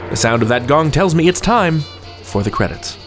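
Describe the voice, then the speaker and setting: Animated man hosting a podcast. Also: fairly high pitch, normal speed, loud music behind the voice. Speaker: podcast host. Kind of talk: scripted outro and credits with playful asides.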